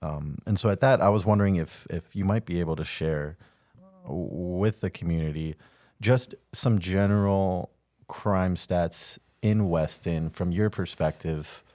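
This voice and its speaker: A man with a deep voice, co-hosting a podcast. He asks friendly questions with small stumbles, and the sound is dry and close. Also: a little mellow, no echo. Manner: friendly, slightly halting questions. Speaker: podcast co-host, city communications.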